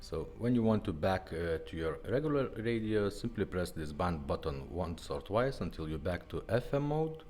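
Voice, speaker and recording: A man's voice: deep voice, lively intonation, moderate pace, hardly any pauses, a little mellow, soft background music. A man casually demonstrating a product, giving simple step-by-step instructions in short phrases. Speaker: vintage car radio restorer presenting a demo.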